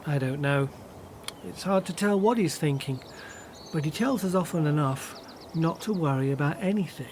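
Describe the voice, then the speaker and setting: An actor playing a kindly, patient disciple in a radio play, speaking in a gentle, reassuring manner. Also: male voice, a little high, lively intonation, normal speed, slight background noise.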